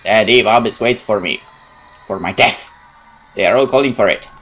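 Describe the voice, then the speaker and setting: A man reading a horror visual novel aloud as a gaming host, with dramatic, expressive delivery and exclamations. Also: lowish voice, normal speed. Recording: music behind the voice.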